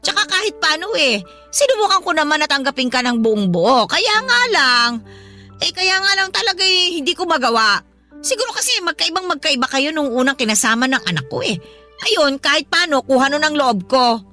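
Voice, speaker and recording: A hot-tempered Filipino grandmother in a radio drama. Her voice is very high and piercing, and she scolds bluntly and nags without softening. Faint music runs underneath. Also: sing-song, fairly quick, heavily acted. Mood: hostile, exasperated. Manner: nagging, blunt, sharp-tongued.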